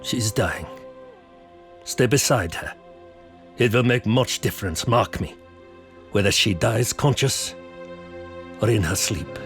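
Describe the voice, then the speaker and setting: A resigned older doctor with a lowish voice. He speaks slowly and gravely, in gothic radio-play style, over faint music. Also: animated. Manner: grave, deliberate. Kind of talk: gothic horror audio drama.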